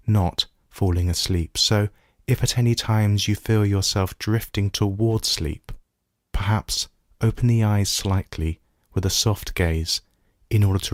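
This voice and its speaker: A man guiding a body scan meditation as a teacher, giving gentle, measured instructions with pauses. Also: low-pitched, no echo, clean studio sound.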